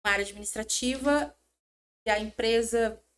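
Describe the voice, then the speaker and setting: A middle-aged woman from São Paulo, a podcast guest telling stories in an animated, chatty way. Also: fairly high pitch, normal speed, clear, resonant tone. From São Paulo, Brazil. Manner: animated, chatty, self-interrupting storyteller. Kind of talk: casual interview chat.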